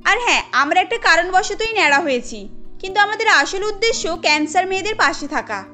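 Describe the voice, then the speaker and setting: Loyal cartoon daughter-in-law, female, voiced by a woman: defiant, assertive, bright, extremely high. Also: lively intonation, fairly quick, faint music behind, heavily acted. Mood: indignant. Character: bold, loyal young daughter-in-law defending her sisters-in-law. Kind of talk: cartoon story dialogue.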